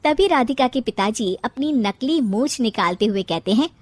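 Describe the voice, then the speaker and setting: A woman's voice: fairly high pitch, expressive, moderate pace, a little mellow, dry recording. A woman narrating a scripted cartoon family story in a storytelling style.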